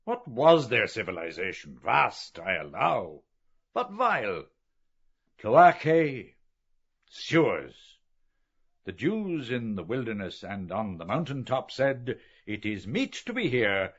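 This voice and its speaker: A man's voice: lowish voice, huge pitch swings, slow pace, a bit bright, slightly rough, pitched above the performer's own voice, full character voice. A man reading an audiobook, voicing an older learned professor who speaks in sweeping, oratorical rhetoric. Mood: declaiming. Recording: dull lo-fi recording.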